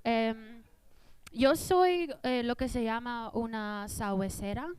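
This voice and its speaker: Halting bilingual woman comic, clear voice. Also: fairly high pitch, a little mellow. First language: English. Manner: hesitant, halting Spanish with fillers. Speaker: stand-up comedy host. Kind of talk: stand-up comedy. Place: small theater stage.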